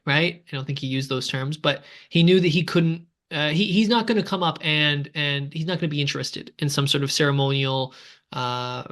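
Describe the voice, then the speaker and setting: Podcast co-host from Canada, man, a bit higher-pitched, chatty, leans on "right?". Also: a bit bright. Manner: conversational, tags statements with "right?". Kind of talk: casual sports chat.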